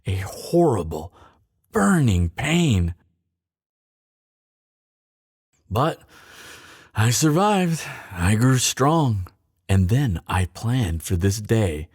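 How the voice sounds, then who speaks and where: A bitter, mocking male thief played by a man speaks in a close, dry recording. His delivery swings widely in pitch, sardonic and theatrical. It is a fantasy roleplay spoken straight to the listener, hardened by grief. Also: very animated, darkish tone, breathy edge, no echo, full character voice. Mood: pained, bitter. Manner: sardonic, theatrical, deliberate pauses. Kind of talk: dramatic fantasy audio roleplay, addressed to listener.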